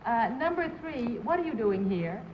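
A woman's voice: on the high side, big pitch swings, normal speed, slight background noise. A woman on a 1950s game show panel asking faltering questions between laughs, in muffled old broadcast audio. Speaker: celebrity panelist.

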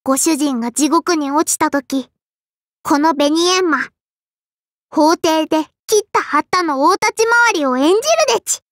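A woman's voice: sits high, moderate pace, a bit bright, no room sound, studio quality. A woman voicing a small, strict but kindly and dutiful sparrow girl for an anime game, in a childlike lisping voice with formal, cutesy phrasing. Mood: tender, grateful. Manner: formal yet cutesy, chirping verbal tics. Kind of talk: Japanese anime game character lines.

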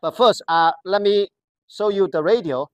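Halting voice explaining a product step by step. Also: mid-range voice, no echo. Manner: halting, careful explanatory delivery in non-native English. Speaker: product demonstrator. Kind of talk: product walkthrough.

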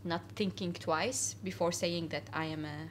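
A woman's voice: lowish voice, steady tone, unhurried, a little hiss or hum. A young woman from Syria, a guest in a casual interview, talking earnestly with many fillers and restarts in a cafe. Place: cafe, indoors.

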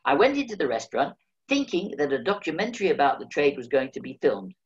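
A man's voice: a little high, big pitch swings, fast talker, muffled recording. A man, reading his own memoir aloud over a video call with dry, wry humor. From England. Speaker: memoir reader.

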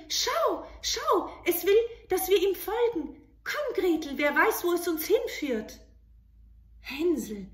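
A woman puts on an innocent little child from a fairy tale. The voice is high, eager and excited, exclaiming with wonder in a roomy space. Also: exaggerated voice. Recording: roomy home recording.

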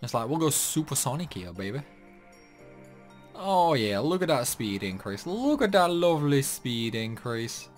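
A man's voice: medium pitch, big pitch swings, unhurried, faint music behind. A young man giving rambling, casual commentary over a game playthrough. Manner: chatty, rambling, lots of likes and uhs. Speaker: gaming youtuber.

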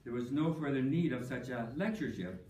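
An older man giving a prepared tribute speech at an academic conference, measured and dry-humored, with frequent ums. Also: medium pitch, animated, heavy background noise. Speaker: panelist speaking at an academic tribute session. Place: conference hall. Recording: echoey hall, voice from a distance.

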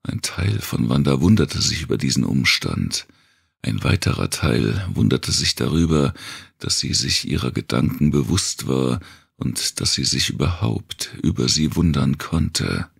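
A man reading scripted narration for a dark thriller audiobook. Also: extremely low pitch, animated, measured pace, gravelly, breathy edge.

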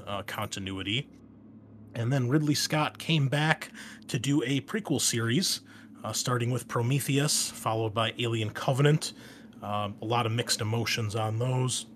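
Low-voiced man hosting a gaming podcast. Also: fairly bright tone, slight background noise. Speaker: podcast host and game master. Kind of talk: casual scripted-outline introduction to a gaming channel.

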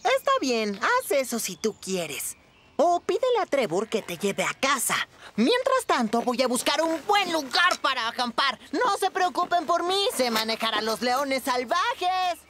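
High, swooping mischievous boy. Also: very animated, unhurried, background noise. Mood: smug. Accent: Latin American Spanish. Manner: dramatic, cocky. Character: mischievous young boy, boastful storyteller. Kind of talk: children's cartoon dubbing. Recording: loud music underneath.

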